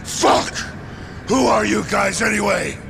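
A man's voice: high-pitched, takes it slowly, creaky, noisy background, full character voice. An actor playing an angry, foul-mouthed, middle-aged warehouse foreman in a video game, aggressive and bellowing. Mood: hostile. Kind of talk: dramatic video game voice acting. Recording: loud music behind the voice. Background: loud music.

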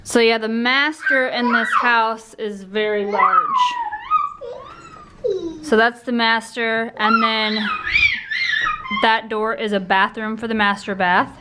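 A young adult woman casually walking through a house under renovation on a handheld phone, talking in a relaxed, rambling way with restarts. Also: on the high side, slow, long pauses, heavy background noise. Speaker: vlogger giving a home tour. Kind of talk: casual walkthrough narration. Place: indoors, empty rooms of a house under renovation. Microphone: handheld phone camera.

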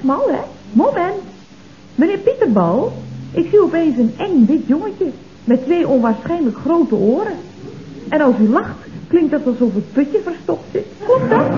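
An old, muffled radio comedy recording with some noise. a brisk office secretary, a woman with a fairly high, animated voice and a teasing, mock-polite manner. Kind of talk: 1970s Dutch radio comedy acting.

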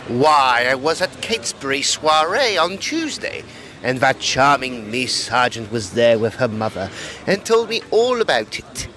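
An actor playing a suave, eager Edwardian occult detective in an audio drama, courteous and teasing. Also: male voice, medium pitch, very animated, a little slow, pushed and bright. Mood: teasing, breezy.

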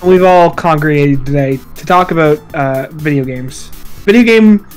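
A man hosting a casual podcast chat, swearing freely and mocking himself in a halting delivery. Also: fairly high pitch. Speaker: podcast host. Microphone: voice call microphone. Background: music.

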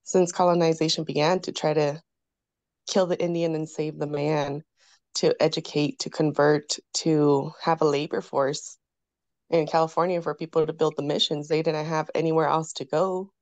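A woman's voice: deep voice, level tone, moderate pace, darkish tone, no room sound. A woman in a reading group discussion, speaking reflectively and thoughtfully over a video call. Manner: reflective, thoughtful, with frequent fillers. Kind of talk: casual discussion.

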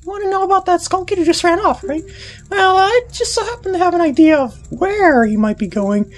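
A woman's voice: very high voice, animated, fairly quick, voice pushed up. A woman voicing a bossy, impatient little girl fairy from a video game, pushy and whiny. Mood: pleading, grudging. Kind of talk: dubbing video game dialogue.

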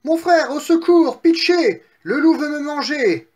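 A frantic young piglet from a children's tale. The voice leaps very high and shrill, pleading in fright. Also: male voice, lively intonation, a little slow, a little mellow. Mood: panicked. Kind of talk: children's storybook character voice.

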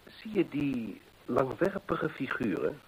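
An actor playing a keen, self-assured detective in a radio play, precise and emphatic, with a husky voice. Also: male voice, medium pitch, very animated, slow pace, slight background noise, rough recording. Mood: keen, explaining. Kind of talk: Dutch radio play acting. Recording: old radio play recording.

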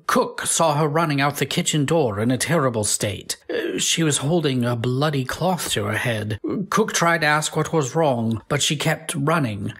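A man's voice: medium pitch, moderate pace, hardly any pauses, fairly bright tone, husky. Guy playing a nervous formal manservant in an old-time radio play, apologetic, urgent. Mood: anxious, urgent. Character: anxious, deferential male household servant.

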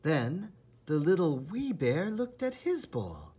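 Man, children's read-aloud, dramatic, enthusiastic, unhurried, lively intonation, husky. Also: on the high side. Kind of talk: expressive children's storybook narration.

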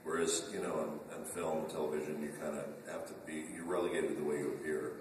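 A man's voice: extremely low pitch, flat delivery, quick pace, noisy background. A male voice actor answering fan questions on a convention panel, chatty and joking, with frequent restarts. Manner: chatty, jokey storyteller with many restarts. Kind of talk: casual convention Q&A. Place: large convention function room. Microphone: table mic seen from far camera. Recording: echoey hall from far off.

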